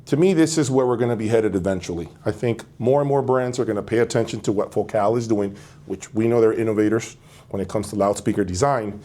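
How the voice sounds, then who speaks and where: A man's voice: fairly low pitch, quick pace, mellow. A man hosting a casual product presentation, talking directly to viewers. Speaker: host of an audio review channel.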